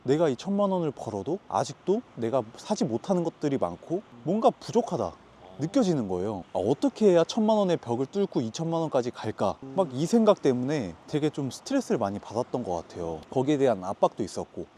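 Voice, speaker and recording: Young man posing rhetorical questions, lively. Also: medium pitch, a little fast, a little hiss or hum. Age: 20s. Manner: earnest, explains with rhetorical questions. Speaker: interviewee, lodging business owner. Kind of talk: casual interview.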